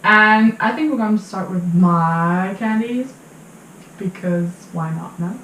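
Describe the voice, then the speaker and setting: A woman's voice: medium pitch, slow pace, fairly bright tone, slight background noise. A sixteen-year-old young woman from Germany hosting a casual vlog chat with friends. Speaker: channel host. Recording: echoey room with music underneath.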